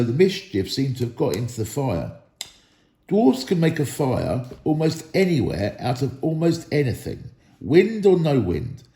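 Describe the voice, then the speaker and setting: A man reading a storybook aloud as an expressive narrator. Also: mid-range voice, reverberant. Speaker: storybook reader.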